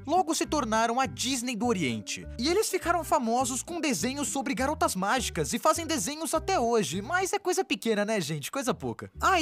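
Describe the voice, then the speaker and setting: Brazilian female narrator for comic explainer, high register, animated swings, playful and sarcastic. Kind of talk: scripted comedic narration, dubbed.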